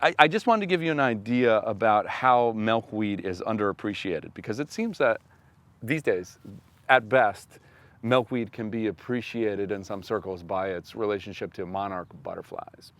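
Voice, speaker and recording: A man hosting a foraging show, explaining a wild plant outdoors in an open field in an earnest, unhurried way. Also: lowish voice, fairly bright tone, no echo. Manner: earnest, unhurried, repeats words while thinking.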